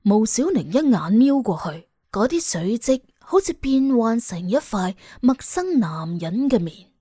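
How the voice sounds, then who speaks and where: A woman's voice: fairly high pitch, big pitch swings, measured pace, mellow, breathy edge, no echo, studio quality. A woman with a Cantonese accent reading a scripted suspense audiobook as its narrator.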